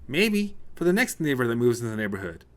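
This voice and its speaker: A man plays a weary newcomer to the suburbs in an audiobook short story. He is dryly sarcastic and grumbles at his neighbors. His intonation swings widely around a middle pitch. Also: sing-song, fairly quick, natural voice. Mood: tired, polite refusal. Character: tired, cranky male newcomer, dryly sarcastic minimalist. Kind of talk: audiobook character voice.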